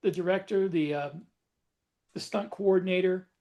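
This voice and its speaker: A man's voice: high voice, fairly even intonation, moderate pace, a little mellow, lo-fi sound. A man co-hosting a casual podcast, explaining his experience thoughtfully, step by step. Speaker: podcast co-host. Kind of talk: casual panel chat.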